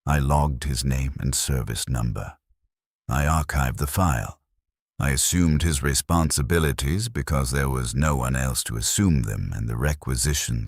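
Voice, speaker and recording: A man narrating a scripted first-person war story in a grave, measured, formal manner. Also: very deep voice, vocal fry, slightly rough, no echo, studio quality.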